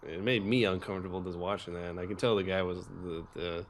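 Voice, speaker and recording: A man's voice: low voice, fairly even intonation, quick pace, slight background noise. A young man telling stories casually as a podcast co-host, rambling and swearing crudely for comedy. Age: young adult.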